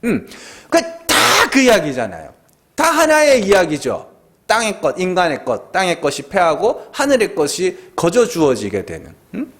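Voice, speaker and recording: A man's voice: sits high, huge pitch swings, moderate pace, fairly bright tone. A man with a Gyeongsang Korean accent, a pastor giving a sermon at a Friday prayer meeting, talking conversationally, tossing rhetorical questions at the congregation and pressing his points with emphasis. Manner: emphatic, swooping delivery.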